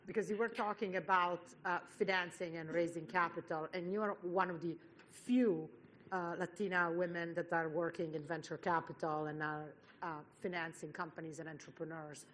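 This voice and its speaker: An Italian-accented woman moderates a panel with an animated, chatty delivery. Her voice is bright and somewhat low for a woman, with a faint hum behind her. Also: fairly quick. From Italy. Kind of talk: live panel discussion.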